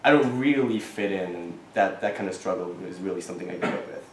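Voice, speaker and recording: Un jeune homme venu de Thaïlande répond aux questions d'une élection étudiante. Sa voix est assez grave et il parle avec sérieux, en hésitant et en reprenant ses phrases. La salle de classe résonne nettement et un léger bruit de fond se fait entendre. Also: male voice, low-pitched, background noise. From Thailand. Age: young adult. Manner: earnest, halting, many restarts and fillers. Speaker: student board candidate. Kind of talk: answering questions at an election forum. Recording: echoey classroom.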